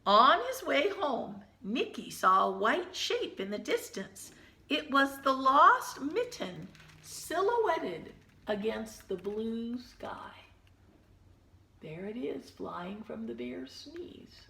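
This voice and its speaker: A woman teacher reading a children's picture book aloud, gently pausing to point out the pictures in hushed asides. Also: a little high, sing-song, creaky, reverberant.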